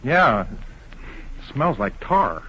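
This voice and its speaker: An actor playing an excitable, loyal cowboy sidekick in a radio western, talking in folksy, exclamatory country phrases. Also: male voice, mid-range voice, drawn-out words, breathy edge, exaggerated voice. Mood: puzzled, excited. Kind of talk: 1950s radio western acting. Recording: dull, noisy old radio recording.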